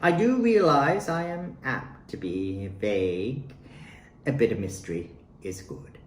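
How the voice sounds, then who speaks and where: A man reading his own poems aloud in deliberate, short declarative phrases with pauses between them. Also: mid-range voice. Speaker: poet reading own work. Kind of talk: spoken poetry reading. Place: at home in a residence. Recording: roomy home recording with faint noise.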